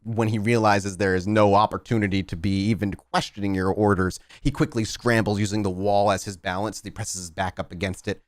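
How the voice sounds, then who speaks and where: A man running a tabletop game, improvising narration in a descriptive, halting way with dramatic pauses. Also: fairly low pitch, fairly even intonation, no room sound, clean studio sound. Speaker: game master.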